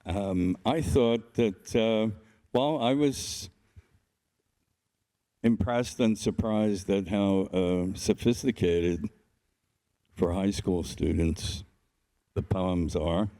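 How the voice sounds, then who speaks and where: A man from the audience giving halting, measured praise. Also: low-pitched, mellow. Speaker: audience member.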